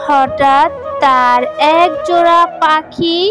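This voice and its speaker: A woman's voice: high voice, slow pace, over music. A woman reading scripted narration for a children's story.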